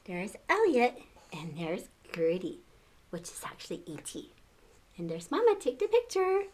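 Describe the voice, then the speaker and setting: A woman's voice: medium pitch, wildly swooping pitch, a little slow. An older woman reading a storybook aloud to children, expressively, with exclamations and questions to the listener.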